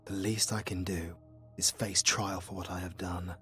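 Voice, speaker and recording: A man playing a noble, resigned demon hunter in a fantasy audio drama, solemn and measured. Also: low voice, level tone, faint music behind. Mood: gentle, resolute. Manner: solemn, measured, hushed at times.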